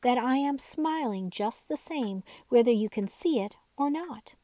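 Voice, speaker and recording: A woman's voice: on the high side, lively intonation, a little slow, warm tone, no echo. A woman voicing a cheerful, plucky boy rag doll in a children's storybook, with a jolly, reassuring manner. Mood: cheerful, insistent.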